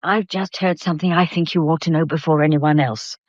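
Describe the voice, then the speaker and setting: A woman's voice: deep voice, steady tone, fairly quick, muffled recording, background noise, natural voice. A woman playing a bustling, motherly working-class English servant in a period audio drama, colloquial, fussing and comforting. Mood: grave, pitying.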